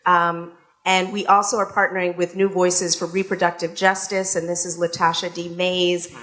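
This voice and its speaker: A woman's voice: on the low side, fairly bright tone. A woman opening a live panel event as host, speaking casually to an audience in a room, with frequent fillers and restarts. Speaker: radio station executive and event host.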